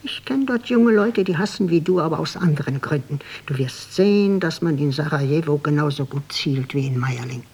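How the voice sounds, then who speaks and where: A woman playing a secretive, coolly probing instigator of revenge in a German radio drama, speaking in a hushed, deliberate way. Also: low-pitched. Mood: conspiratorial.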